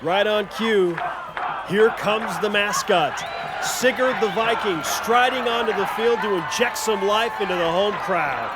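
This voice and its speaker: An actor playing an excitable play-by-play football announcer in an audio drama. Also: male voice, high voice, a little slow, heavy background noise. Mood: excited. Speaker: sportscaster. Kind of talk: sports commentary in audio drama. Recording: loud music and roaring crowd behind.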